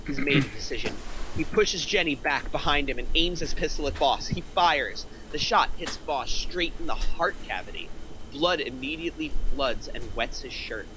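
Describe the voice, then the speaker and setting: A man's voice: a little high, lively intonation, moderate pace, background noise. A man reading stage directions as the narrator at a script table read.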